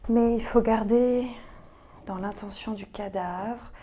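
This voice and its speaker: Soft, breathy woman guiding yoga relaxation slowly. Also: a little high. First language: French. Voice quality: soft, breathy, often near a whisper. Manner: slow, calm, gentle. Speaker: yoga teacher. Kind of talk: guided relaxation and meditative reading.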